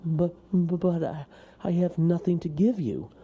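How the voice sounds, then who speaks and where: A man voicing a timid, polite little male toad in a storybook, speaking softly and hesitantly. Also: medium pitch, expressive, measured pace, creaky, breathy edge, no echo, muffled recording, pitched above the performer's own voice, exaggerated voice. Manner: hesitant, soft, stammering.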